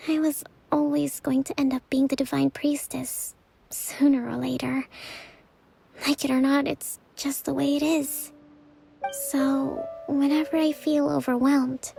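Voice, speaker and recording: An actress voicing a weary, gentle young priestess and leader who speaks softly, sighing and half whispering. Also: female voice, sits high, creaky. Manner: soft, tired, sighing, often whispery. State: exhausted. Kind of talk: video game voice acting.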